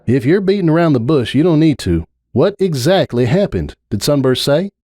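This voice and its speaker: A man's voice: a little high, sing-song, normal speed, mellow, husky, dry recording, clean studio sound, pitched above the performer's own voice. A man voicing a plainspoken, guarded female farm pony with blunt, folksy questions, in a dramatic story reading. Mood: blunt, insistent.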